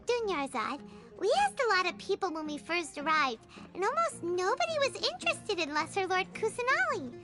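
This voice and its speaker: Squeaky, very high tiny fairy girl. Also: big pitch swings, normal speed, music in the background. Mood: curious. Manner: speaks of herself in third person. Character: tiny bubbly childlike fairy girl companion, indignant, excitable. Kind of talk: video game voice acting.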